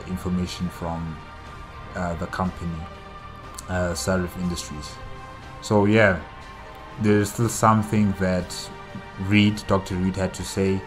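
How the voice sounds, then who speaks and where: A man giving casual solo reaction commentary as host, halting as he searches for words. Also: sits low.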